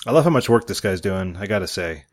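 A man's voice: low voice, lively intonation, fast talker, no echo, clean studio sound. A man co-hosting a podcast, curious and asking lots of questions. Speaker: podcast co-host. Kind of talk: casual podcast discussion.

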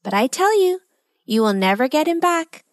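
Woman voicing a tiny squeaky storybook bird, high-pitched. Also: sing-song, normal speed, no echo, clean studio sound. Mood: gloating warning. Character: small squeaky talking blue bird, blunt and ominous. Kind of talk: children's storybook character voice.

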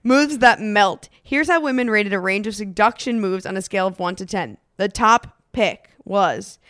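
A woman's voice: mid-range voice, moderate pace, a bit bright, dry recording, studio quality. A woman co-hosting a casual podcast, reading lines from a book aloud and teasing her co-host with playful asides.

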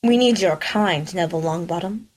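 A woman putting on a soft hissing dark lord. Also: lowish voice, normal speed, lightly acted. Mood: menacing. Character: cold, hissing male dark lord, menacing. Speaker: audition reader.

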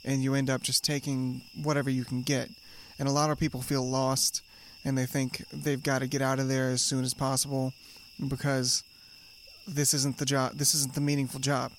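A man co-hosts a casual podcast, speaking in an easygoing, reflective way. He circles his points with restarts and small fillers, steering the conversation gently. A faint hiss runs under the recording. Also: mid-range voice, flat delivery, normal speed. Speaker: podcast co-host.

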